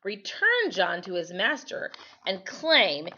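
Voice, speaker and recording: A woman reads a history story aloud like a teacher at storytime. Her pitch moves around a lot, giving the telling an animated, expressive feel. The recording is slightly muffled and lo-fi. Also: slow, a little hiss or hum. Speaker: storyteller reading aloud to children.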